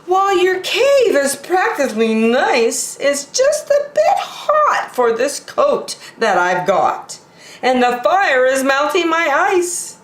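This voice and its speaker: Woman playing apologetic male polar bear, storybook character, high-pitched, plaintive, very polite, swooping intonation, echoey room. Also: big pitch swings, slow pace, exaggerated voice. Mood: politely complaining. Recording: roomy home recording with echo.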